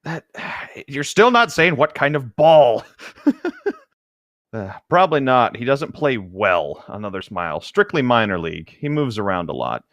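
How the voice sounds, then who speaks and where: A man's voice: medium pitch, sing-song, unhurried, fairly bright tone, dry recording, clean studio sound. A man reading a novel aloud as co-host, mixing the text with casual, wry, laid-back asides.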